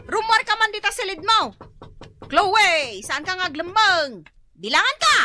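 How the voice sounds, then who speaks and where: A woman's voice: very high voice, sing-song, measured pace, sharp tone, soft background music, full character voice. A woman playing an exasperated, nagging elder sister in an Ilocano radio comedy-drama, speaking emphatically. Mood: scolding, exasperated. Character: exasperated, scolding elder sister who sells smoked fish.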